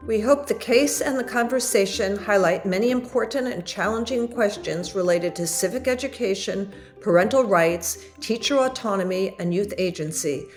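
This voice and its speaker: A woman's voice: medium pitch, normal speed, few pauses, faint music behind. A woman co-hosting a podcast, reading a scripted introduction. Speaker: podcast co-host.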